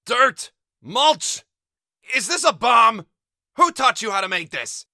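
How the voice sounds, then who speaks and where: Man putting on a kobold father, small, grumpy, high-pitched, shrill, animated, dry close sound. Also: slow pace, no room sound, full character voice. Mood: scolding. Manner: high, shouting scolding. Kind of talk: voice acting demo.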